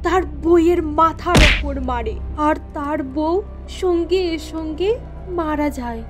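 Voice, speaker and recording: A woman narrating a cartoon moral story in a plain, measured way. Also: high-pitched, loud music behind the voice.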